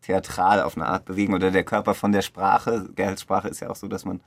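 Low, creaky-voiced man in conversation. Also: flat delivery, fast talker, no room sound. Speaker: theatre director interviewed about a radio play. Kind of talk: casual reflective interview talk.

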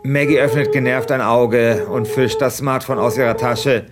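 A man's voice: mid-range voice, fairly even intonation, moderate pace, over loud music. A man hosting a podcast, switching between reading a script aloud and casual chat. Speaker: podcast host.

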